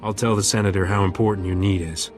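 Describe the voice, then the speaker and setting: An actor voicing a roguish smuggler captain in a video game, laconic and wry. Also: male voice, low voice, fairly even intonation, fast talker, soft background music, lightly acted. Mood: matter-of-fact.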